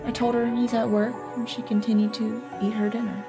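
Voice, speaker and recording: A woman's voice: on the high side, flat delivery, brisk, music in the background, natural voice. A woman playing a devoted soldier's wife and mother in an audio drama, telling her story tenderly and reflectively. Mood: sad, reflective.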